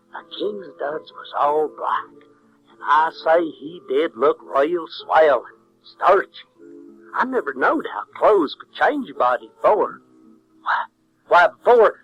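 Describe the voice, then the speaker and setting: A man's voice: a little high, fairly bright tone, low-quality recording. A male actor playing a plainspoken country boy, narrating in a folksy, wry way with an American Southern accent. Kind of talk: in-character narration in audio drama. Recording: 1970s audio drama with music.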